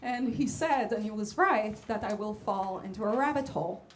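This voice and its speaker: A woman's voice: medium pitch, normal speed, a little hiss or hum. A woman from Israel whose first language is Hebrew, a guest jazz vocalist introducing a song from a club stage in a hesitant, chatty way with many fillers. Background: band instruments faintly.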